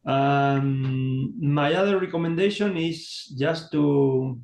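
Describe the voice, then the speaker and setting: A man on an online call, speaking haltingly and searching for words in English. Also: a little high, gravelly, darkish tone. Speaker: community contributor. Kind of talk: online meeting talk. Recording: roomy echo.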